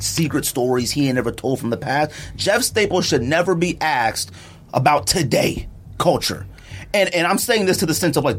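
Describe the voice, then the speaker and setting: Animated middle aged guy hosting a podcast, highish voice, opinionated and slangy, argues hard. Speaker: podcast host. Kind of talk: casual podcast debate.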